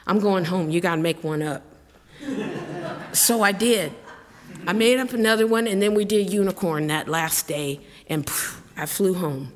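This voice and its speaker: A woman's voice: fairly low pitch, moderate pace, in a large hall. A middle-aged woman, a singer-songwriter, telling a rambling, chatty story between songs at a live show, laughing as she goes. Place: live concert venue with audience.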